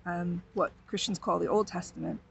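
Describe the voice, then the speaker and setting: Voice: mid-range voice, steady tone, moderate pace, creaky, fairly bright tone, a little hiss or hum. A speaker explains the background of a scripture passage in an earnest way, then reads it aloud with emphasis. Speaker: scripture reader at a service. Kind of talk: introducing and reading scripture.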